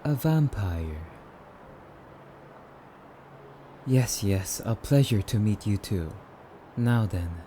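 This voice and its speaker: Man playing a slow, low-voiced suave vampire. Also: background noise. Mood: courtly, rhapsodic. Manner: formal, theatrical, rambling, with drawn-out phrases. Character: suave, theatrical, musing male vampire, immortal and courtly. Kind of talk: ASMR roleplay monologue.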